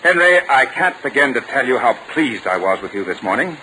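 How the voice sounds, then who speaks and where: An actor playing a patient, reasonable middle-aged father in a 1940s radio sitcom, measured and firm, on a noisy vintage broadcast. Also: mid-range voice, very animated, loud music behind the voice, close to an everyday voice. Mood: pleased.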